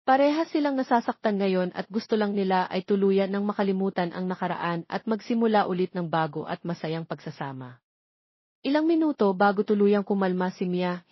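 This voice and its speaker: A woman's voice: mid-range voice, animated, a little fast, no echo. A woman narrating a scripted romance story as its storyteller. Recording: dry, slightly dull studio sound.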